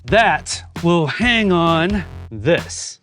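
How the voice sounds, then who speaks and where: A man's voice: fairly high pitch, sing-song, drawn-out words, a bit bright, faint music behind. A man hosting a woodworking vlog, explaining things in an informal, plain-spoken way. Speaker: host of a woodworking channel. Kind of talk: casual workshop vlog narration.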